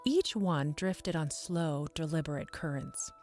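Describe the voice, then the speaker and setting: Low-voiced woman narrating fantasy. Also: a little slow, a little mellow, faint music behind. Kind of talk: scripted fantasy story narration.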